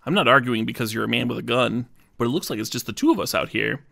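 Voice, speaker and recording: A man voicing a lone cowboy hero who speaks up high and jumpy when cornered. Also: lively intonation, quick pace, gravelly, bright, forceful tone, full character voice. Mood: wary. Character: lone cowboy hero, nervous but game.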